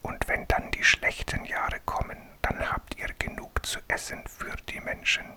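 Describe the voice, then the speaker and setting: A man's voice: measured pace, bright, forceful tone. A man whispering the lines of a humble, wise young dream interpreter in a bedtime story. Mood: advising.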